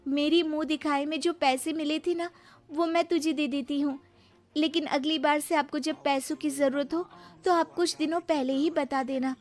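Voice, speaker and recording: A woman's voice: high voice, fairly even intonation, moderate pace, natural voice. A woman voicing a dutiful, earnest young married daughter in a cartoon moral story, speaking in a placating manner.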